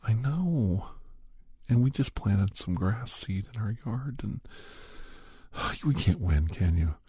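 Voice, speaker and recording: A middle-aged man hosting a whispered ASMR roleplay, chatting softly and gently teasing the listener as a caregiver. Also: fairly low pitch, expressive, slow pace, breathy edge, dry recording. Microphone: close microphone.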